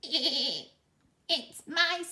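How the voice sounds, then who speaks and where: A woman voicing a forlorn goat in a children's storybook, with a wobbly bleat and plaintive, drawn-out words. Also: high voice, sing-song, bright, forceful tone, voice pushed up, full character voice. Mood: sad.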